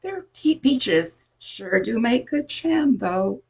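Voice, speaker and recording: An older woman playing a middle-aged busybody neighbor in an amateur radio play, chatty, insinuating and judgmental, with a husky, creaky voice. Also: a little high, animated, slow, darkish tone, exaggerated voice. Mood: disapproving.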